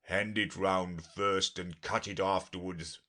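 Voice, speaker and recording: A man voicing a dreamy, haughty, boastful unicorn from a storybook in a drawn-out, grand manner. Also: deep voice, steady tone, sharp tone, full character voice. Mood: smug. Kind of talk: storybook character reading.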